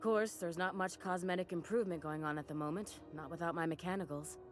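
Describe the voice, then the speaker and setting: Folksy, low-voiced woman mechanic played by an actress. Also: fairly even intonation, quick pace, soft background music, close to an everyday voice. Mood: brisk, folksy. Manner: folksy slang, dry complaining. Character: folksy, brisk woman cosmetic mechanic aboard a space station. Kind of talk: video game character dialogue.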